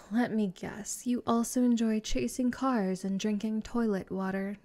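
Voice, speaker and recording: A woman's voice: a little high, steady tone, a little slow, darkish tone. A woman playing a haughty, possessive vampire girl in a comedic roleplay audio drama, doting and teasing in her delivery. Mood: haughty, mocking. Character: smug, possessive, lovestruck vampire girl with a mansion.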